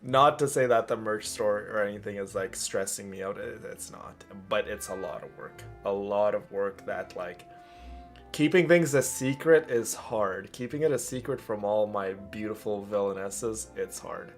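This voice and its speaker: A man's voice: fairly low pitch, moderate pace, faint music behind. A man hosting a livestream, chatting casually and addressing viewers with doting affection. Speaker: livestream host. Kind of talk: casual chat while streaming a game.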